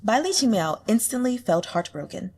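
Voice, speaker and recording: Casting: female audiobook storyteller, mid-pitched, lively intonation, slightly husky, expressive scripted delivery. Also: normal speed. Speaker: audiobook reader.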